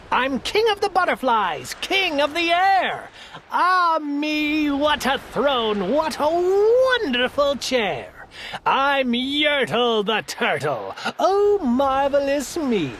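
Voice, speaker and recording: A woman's voice: high-pitched, fairly bright tone, a little hiss or hum, voice pushed up, exaggerated voice. A woman doing a pompous boastful turtle king. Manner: blustering, proud.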